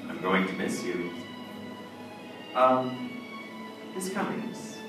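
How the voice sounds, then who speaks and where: A man playing an infatuated middle-aged judge in an old-time radio drama, wistful and evasive, speaking in a measured, formal, confessional way. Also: medium pitch, sing-song. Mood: hesitant, tender. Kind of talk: old-time radio drama acting and first-person narration. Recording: echoey, far-off stage recording with music.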